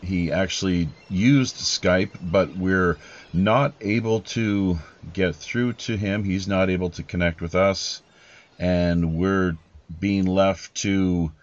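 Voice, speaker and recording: Male podcast host, low voice, very unhurried, formal measured phrasing, slightly dull lo-fi sound. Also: no room sound. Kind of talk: podcast hosting, announcer-like.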